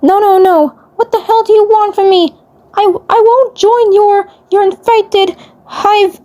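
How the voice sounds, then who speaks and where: A very high, strained cartoon boy's voice, put on by a woman, terrified and frantic. He stammers and repeats himself as he pleads, shrill with panic. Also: fairly even intonation, unhurried, mellow. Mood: panicked, pleading.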